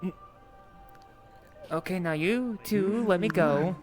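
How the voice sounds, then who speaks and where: A man improvising in-character roleplay chat, speaking in a hesitant, halting way. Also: sits high. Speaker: roleplay player. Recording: music playing behind the voice. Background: music.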